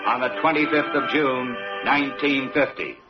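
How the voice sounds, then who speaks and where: A man's voice: mid-range voice, moderate pace. Male American narrator for 1960s army radio documentary: solemn, dramatic, dull archival sound over prominent music. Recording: dull old radio recording, distant, loud music.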